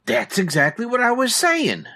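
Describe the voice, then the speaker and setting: A man's voice: extremely high pitch, wildly swooping pitch, normal speed, pushed and bright, full character voice. A man playing a loyal, wry 1940s cab driver with colloquial, streetwise phrasing, in old-time radio comedy style. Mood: helpful, eager.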